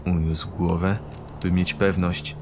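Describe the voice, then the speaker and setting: A man's voice: sits low, level tone, slow, background noise. A man narrating a scripted fantasy audio drama in a dramatic storytelling style. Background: loud background music.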